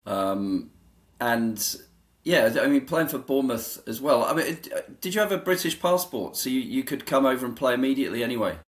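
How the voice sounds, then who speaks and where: A man's voice: mid-range voice, animated, normal speed. A man hosting a podcast interview, conversational, with questions that meander and hesitate as he puts them. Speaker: podcast host.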